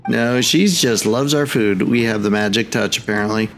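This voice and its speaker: A man's voice: on the low side, moderate pace, noisy background. A man doing casual let's play commentary as a gaming host, with playful, sing-song reactions. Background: game music.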